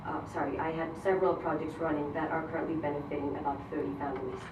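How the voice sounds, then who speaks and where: A woman from Colombia, a Spanish speaker, giving an earnest, measured prepared speech as a grant recipient. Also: low-pitched, level tone, highs cut off. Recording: roomy, distant mic, noisy event hall.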